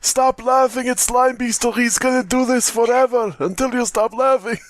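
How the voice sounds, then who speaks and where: A man voicing a cheeky high-pitched creature mascot in a comic podcast bit. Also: fairly even intonation, normal speed, dry recording. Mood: cheeky, needling.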